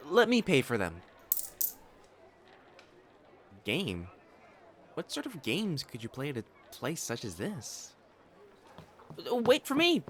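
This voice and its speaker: An actor playing a proud, secretly smitten young noble matchmaker in a romantic audio drama, with haughty formal diction that turns to stammering when flustered. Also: male voice, a little high, a bit bright, slight background noise, full character voice. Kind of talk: acted romantic audio drama monologue to the listener.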